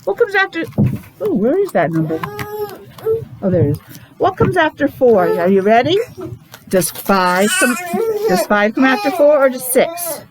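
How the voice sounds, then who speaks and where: A woman's voice: high-pitched, big pitch swings, unhurried, long pauses, noisy background. An American woman teaching young children, talking in a sing-song way with simple repeated phrases and coaxing questions. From New England, USA. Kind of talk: interactive preschool lesson for young children.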